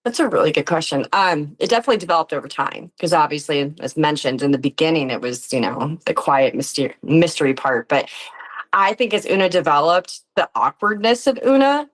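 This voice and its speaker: A woman speaks as an interview guest in a slightly low voice. Her style is casual and informal, with a self-effacing way of talking. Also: lively intonation, fairly quick, rough recording. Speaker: interview guest, tabletop game performer. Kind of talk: casual chat.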